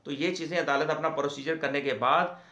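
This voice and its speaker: Bright-voiced Pakistani man explaining law, echoey room. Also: a little high, fairly even intonation, brisk. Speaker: legal explainer, host of a law channel. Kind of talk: informal legal lecture, explaining to viewers. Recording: echoey, reverberant room sound.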